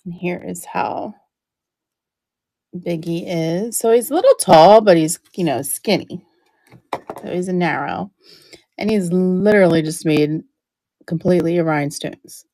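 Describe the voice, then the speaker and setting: A woman hosting a live-stream auction speaks at an unhurried pace, her voice sitting a little low. She keeps clearing her throat as her voice gives out. The sound is dry and close. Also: warm tone, no echo. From New Jersey. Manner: chatty, describing items up close.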